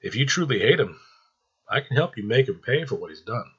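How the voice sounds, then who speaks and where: A man's voice: medium pitch, very animated, a little fast, breathy edge, lo-fi sound. A man voicing a cruel, manipulative male Angel of Death with coaxing menace. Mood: falsely soothing.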